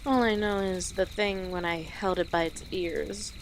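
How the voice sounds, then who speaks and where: A woman playing an easygoing, friendly female orc travel guide in an audio roleplay, speaking gently and conversationally to the listener with pauses. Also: lowish voice, steady tone, slight background noise, poor audio. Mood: fond, chatty. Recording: outdoor nature ambience with birdsong behind. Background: birds and rural outdoor ambience.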